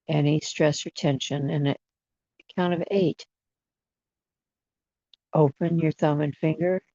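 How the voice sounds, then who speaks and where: A person leading a guided imagery meditation in a soothing manner, with long pauses between short phrases. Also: mid-range voice, gravelly, mellow, dry recording. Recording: dull, dry lo-fi recording.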